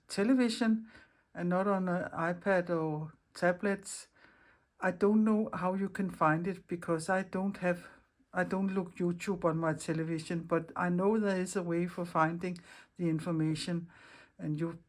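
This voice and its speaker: Danish woman, halting friendly chat. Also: fairly low pitch, fairly even intonation. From Denmark. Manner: halting, searching for English words, friendly. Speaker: craft channel host. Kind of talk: casual craft vlog chat.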